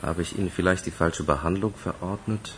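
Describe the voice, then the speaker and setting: An actor playing a concerned, reproachful sanatorium doctor in a German radio crime drama, husky and paternal. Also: male voice, deep voice, brisk, a little hiss or hum, natural voice.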